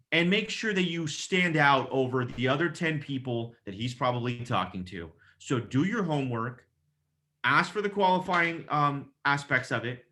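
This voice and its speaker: A man with a slightly high, bright and punchy voice coaches listeners like a real estate agent. He is casual and emphatic, mildly profane, and slips into example phone pitches, often checking in with a quick question. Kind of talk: conversational coaching with acted-out example phone calls.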